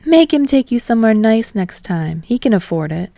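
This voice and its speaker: A woman's voice: a little high, big pitch swings, normal speed, gravelly, mellow, no room sound, pitched above the performer's own voice. Teasing waitress for audiobook fiction, voiced by a narrator: female, easygoing, playful, familiar, dry close recording.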